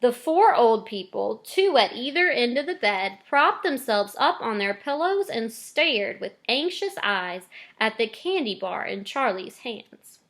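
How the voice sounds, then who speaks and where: A woman's voice: fairly high pitch, sing-song, measured pace, fairly bright tone. A woman reading a children's storybook aloud expressively, like a teacher to students.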